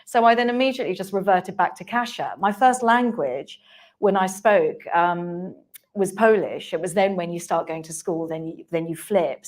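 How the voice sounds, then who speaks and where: A woman speaking on a conference panel, chatty and candid, with frequent restarts. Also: medium pitch. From England. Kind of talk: panel discussion. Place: conference hall.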